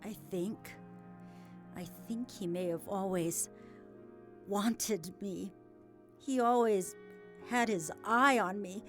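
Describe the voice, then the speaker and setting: A woman playing a grieving, hesitant farm widow in an audio drama, speaking in a halting, emotional way. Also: mid-range voice, faint music behind, lightly acted. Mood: uneasy, tearful. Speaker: voice actor.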